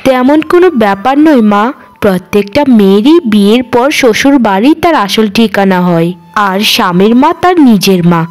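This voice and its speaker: A woman voicing a gentle, devoted young cartoon daughter-in-law, respectful and eager to please. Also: medium pitch, expressive, normal speed, lightly acted. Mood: devoted, reassuring. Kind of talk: Bengali cartoon voice acting.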